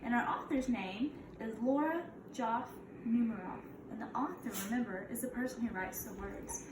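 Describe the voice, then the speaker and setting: A woman's voice: on the high side, moderate pace. A woman, a kindergarten teacher, reads a picture book aloud to young children in an instructive way, prompting them with questions. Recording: echoey room, clear background noise.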